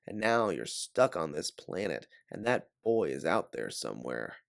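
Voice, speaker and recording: Male audiobook narrator, low and gravelly, dramatic scripted read with lively swings in pitch. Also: measured pace, no echo, studio quality.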